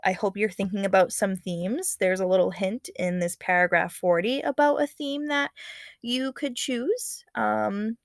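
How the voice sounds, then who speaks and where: A woman teacher reads a children's story aloud at a middle pitch. Her delivery is expressive, slipping into casual and encouraging commentary. The recording is dry, without room sound. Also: unhurried, creaky, dry recording. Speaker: teacher reading aloud to students.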